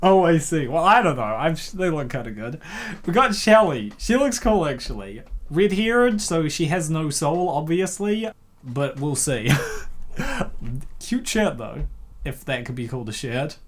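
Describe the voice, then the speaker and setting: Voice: medium pitch, very animated, measured pace, a little hiss or hum. A co-host narrating a comic dub and reacting casually, with an ironic, teasing manner.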